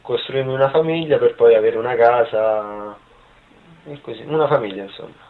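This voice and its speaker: A man's voice: medium pitch, moderate pace. A man in his early twenties giving casual, brief answers in an interview. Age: 20s. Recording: thin, phone-like sound.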